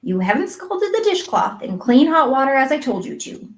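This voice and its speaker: A stern, practical woman from a storybook, acted by a female reader. She sounds brisk and disapproving, with a slightly high voice and no sentiment at all. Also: animated, close to an everyday voice. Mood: firm, immovable. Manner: brisk, matter-of-fact, disapproving. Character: stern, practical, unsentimental woman raising an orphan. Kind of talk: acted audiobook dialogue.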